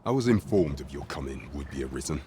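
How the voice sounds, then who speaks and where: A man playing a commanding guard captain in a fantasy video game, with formal, measured, archaic speech. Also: a bass voice, animated, warm tone, soft background music. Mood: stern.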